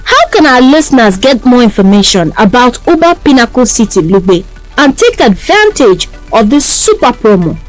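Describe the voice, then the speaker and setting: A woman's voice: on the high side, big pitch swings, normal speed, poor audio. A woman with a Nigerian accent acting as interviewer in a scripted, polished and enthusiastic radio promotion. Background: music.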